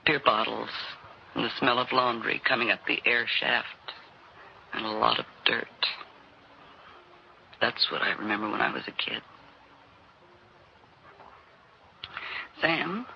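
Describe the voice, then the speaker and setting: An actor playing a jaded, desperate former showman in a 1960s radio drama, with halting, stammering speech that often repeats itself. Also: medium pitch, gravelly, natural voice. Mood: wistful. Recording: dull, hissy old radio recording.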